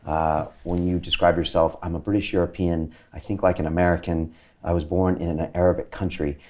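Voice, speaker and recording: A man hosting an interview show, reading a steady scripted introduction of his guest and then asking questions with frequent filler sounds. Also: low-pitched, brisk. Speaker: interview host.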